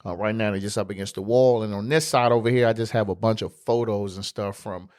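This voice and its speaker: A man's voice: on the low side, fast talker. Congested man hosting a sports podcast. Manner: relaxed, conversational, loose slang. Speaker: podcast host. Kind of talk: casual solo sports podcast. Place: home podcast studio.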